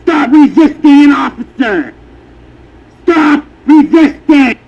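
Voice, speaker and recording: Commanding male cop, high swinging voice. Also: expressive, slow, darkish tone. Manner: commanding, confrontational. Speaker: police officer. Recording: muffled camera recording, faint noise.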